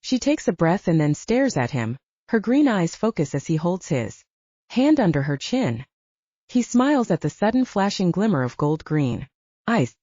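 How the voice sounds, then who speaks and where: Female audiobook narrator for dramatic fiction: soft, warm timbre, expressive melody, very dry, slightly muffled studio sound. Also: fairly low pitch, normal speed, no echo.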